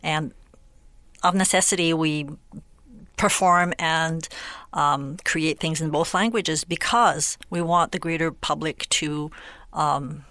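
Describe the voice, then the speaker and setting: Woman poet, unhurried. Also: deep voice, a bit bright, dry recording. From Western Canada. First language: French. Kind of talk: radio interview and poetry reading.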